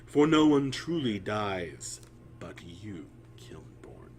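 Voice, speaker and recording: A man voicing a cruel, sinister overseer from a fantasy story in a whispery, menacing, slow and emphatic delivery. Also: on the low side, sing-song, darkish tone, a little hiss or hum. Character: cruel sinister overseer taunting his golem slave. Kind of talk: dramatic story reading.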